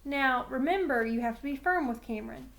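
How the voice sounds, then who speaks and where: A woman's voice: high voice, animated, a little fast, gravelly, fairly bright tone, full character voice. A woman voicing an earnest, long-winded man at a school who hems and haws in a storybook. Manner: hedging, rambling, earnest. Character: earnest, long-winded male school staffer, admiring and hesitant. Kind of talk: storybook character voice.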